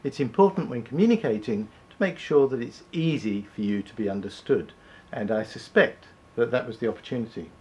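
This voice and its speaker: A man co-hosting a talk segment, speaking in a measured, deliberate way and slowing down to stress key points. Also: mid-range voice, darkish tone. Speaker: co-host. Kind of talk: scripted conversational presenting.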